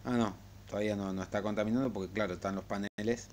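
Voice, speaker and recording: Guy rambling thru a game tutorial, kinda low voice, bit of hum and noise behind him. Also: fairly even intonation, quick pace, darkish tone. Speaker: gaming tutorial host. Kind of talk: casual unscripted game tutorial commentary. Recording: clear background noise and hum.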